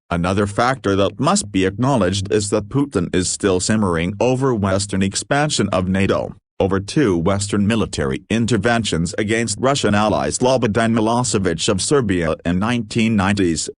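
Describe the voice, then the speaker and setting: A man narrating a scripted news analysis in a steady, formal read. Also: fairly low pitch, no room sound, clean studio sound.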